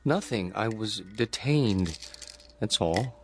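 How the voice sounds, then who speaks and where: An actor playing a meek, awkward grown son in a radio drama, stammering politely, a lonely and secretive dreamer. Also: male voice, lowish voice, lively intonation, slow pace, noisy background. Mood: evasive, meek. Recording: music bed under the dialogue.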